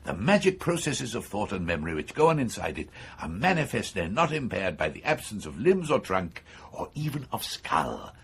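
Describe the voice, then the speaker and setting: An actor playing an eager, persuasive neurosurgeon with a macabre obsession in a radio horror drama, speaking insistently and clinically with a British accent. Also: male voice, lowish voice, sing-song, moderate pace, a bit bright, breathy edge, exaggerated voice. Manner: insistent, enthusiastic, coolly clinical lecturing. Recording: faint background noise.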